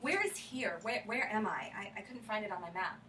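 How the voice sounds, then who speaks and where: A woman acting a nervous, grieving young widow in a staged play reading, halting and repeating herself earnestly. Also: mid-range voice, sharp tone, lightly acted. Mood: tentative. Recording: roomy stage reading, distant mic, some noise.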